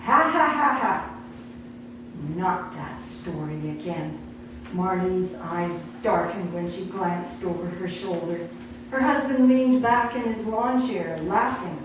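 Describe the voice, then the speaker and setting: Female author, live story reading, unhurried and dramatic, heavy room echo, noisy distant pickup. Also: on the low side. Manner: deliberate, dramatic storytelling with acted dialogue. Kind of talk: expressive short story reading at a live event. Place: indoor awards night event. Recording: echoey room, distant mic, noisy background.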